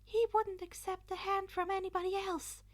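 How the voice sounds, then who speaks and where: Woman acting a high-pitched, anxious teenage boy hero. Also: little pitch movement, normal speed. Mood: urgent, determined. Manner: urgent, rambling, breathless whispering. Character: anxious teenage boy hero hatching a frantic reckless plan. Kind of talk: fan fiction reading.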